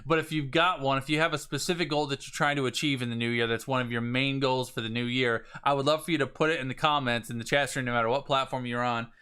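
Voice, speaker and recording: Guy coaching live viewers, kinda raspy today, bright and pumped. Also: mid-range voice, fast talker, few pauses. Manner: energetic, repeats and restarts phrases, addresses viewers directly. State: slightly raspy voice. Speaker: business coach. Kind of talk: live-streamed coaching talk.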